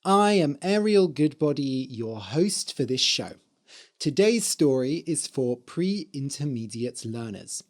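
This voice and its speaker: A man hosting an English-learning podcast, speaking in a hoarse, slightly dry voice and explaining words clearly for learners. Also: mid-range voice, very animated, takes it slowly. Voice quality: spells words out letter by letter. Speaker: podcast host and English teacher. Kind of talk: slow, clear teaching talk for learners.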